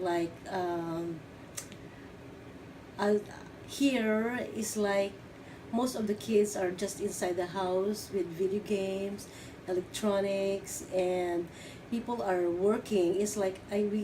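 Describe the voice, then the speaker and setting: Brief: middle-aged woman from the Philippines, hesitant interview answers, recorded from a distance with clear background noise. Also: mid-range voice, steady tone, dark tone. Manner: halting, many fillers and restarts. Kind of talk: oral history interview.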